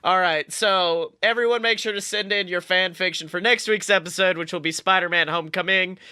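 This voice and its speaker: High-pitched, bright young-sounding male podcast host. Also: steady tone, fairly quick, smooth, no room sound. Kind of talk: casual comedic podcast banter.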